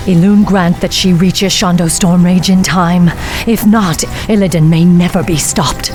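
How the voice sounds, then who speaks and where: A woman's voice: on the low side, fairly even intonation, a little slow, darkish tone, faint music behind, lightly acted. A woman playing a stern, vengeful elf warden commander in a fantasy game, speaking in a commanding, solemn and formal way. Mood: grim, urgent. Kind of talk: dramatic fantasy game voice acting.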